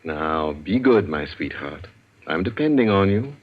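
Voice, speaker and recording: Slow, theatrical secretive gentleman, swooping pitch. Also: big pitch swings, exaggerated voice. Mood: coaxing, urgent. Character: secretive, theatrical gentleman member of a masked criminal society. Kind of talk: old-time radio acting. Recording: dull, slightly noisy vintage radio recording.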